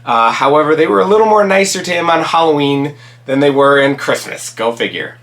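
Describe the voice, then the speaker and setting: Raspy man rambling through movie picks. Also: on the high side, lively intonation, brisk, a bit bright. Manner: rambling, many ums and restarts. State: raspy from a cold. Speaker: channel host reviewing movies. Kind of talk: casual solo commentary.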